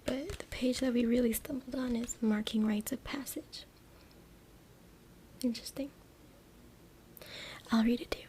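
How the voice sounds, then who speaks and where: A woman reading aloud in a breathy, unvoiced ASMR whisper with informal, hushed asides. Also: fairly high pitch, fairly even intonation, a little slow, pauses often. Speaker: ASMR creator. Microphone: close USB microphone. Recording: noisy USB mic with clicks, tapping. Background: tapping, scratching, clicks.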